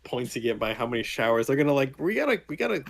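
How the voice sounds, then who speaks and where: A man's voice: mid-range voice, very animated, fast. Podcast co-host, male, mid-range voice, slangy and bantering, off-the-cuff conversational delivery. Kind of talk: casual chat.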